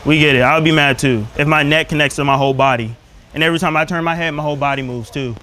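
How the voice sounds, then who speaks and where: A man's voice: a little high, fairly even intonation, very fast, pushed and bright. A young man who hosts prank videos, talking in casual, slangy, taunting and repetitive banter. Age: young adult. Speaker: prank show host. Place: large hardware store and its car park. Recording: noisy store and outdoor location.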